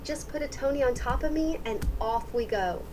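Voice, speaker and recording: A woman's voice: sits high, moderate pace, background noise, natural voice. A woman voicing a cheerful, welcoming talking toy box with scripted prompts for children.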